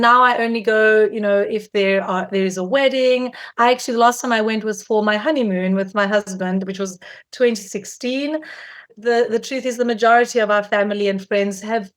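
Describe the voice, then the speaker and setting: Woman, forties, from South Africa, interview guest, chatty, frequent restarts, mid pitch. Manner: warm, chatty, many fillers and restarts. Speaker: filmmaker guest. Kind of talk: conversational interview.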